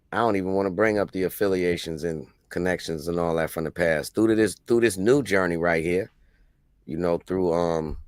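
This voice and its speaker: A man's voice: sits low, clean tone, no room sound, studio quality. A man from Albany, New York, a musician and producer, talking in a laid-back, rambling way on an online call. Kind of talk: casual chat.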